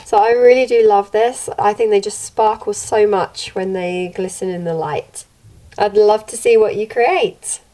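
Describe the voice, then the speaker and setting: Slightly croaky woman teaching a craft. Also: mid-range voice, measured pace. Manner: gentle, steady instructional delivery. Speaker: craft channel host. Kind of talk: step-by-step tutorial narration. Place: home room, indoors.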